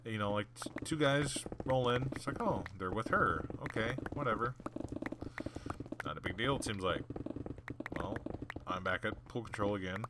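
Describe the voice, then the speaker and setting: A man's voice: fairly low pitch, expressive, moderate pace, long pauses, noisy background. Rambling young man telling work stories. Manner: rambling, loose, many fillers and restarts. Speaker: gaming channel host. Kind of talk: casual storytelling over gameplay. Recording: clear background clicking.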